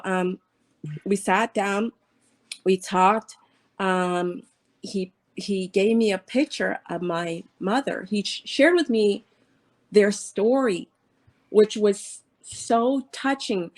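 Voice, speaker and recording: A woman in her fifties, a guest in an interview, telling her own story in an earnest, halting way with many pauses and fillers. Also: mid-range voice. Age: 50s.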